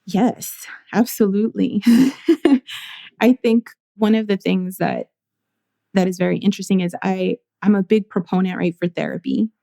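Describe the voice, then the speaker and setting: A young adult woman from the United States, a therapist speaking as a podcast guest in a thoughtful, measured way with some restarts. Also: mid-range voice, fairly even intonation, gravelly, warm tone, no echo, studio quality. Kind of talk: reflective interview conversation.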